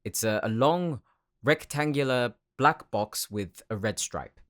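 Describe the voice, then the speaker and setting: A man's voice: medium pitch, expressive, a little slow, a bit bright, dry recording, studio quality. A man voicing an earnest, homesick tech worker from a space colony in an audio roleplay, explaining things in a sometimes faltering way. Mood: matter-of-fact. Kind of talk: anime-style audio roleplay acting.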